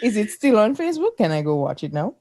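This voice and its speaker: A woman's voice: mid-range voice, big pitch swings, quick pace, a loud crowd. A woman with a Caribbean accent chatting casually and outspokenly as a podcast host in playful group banter.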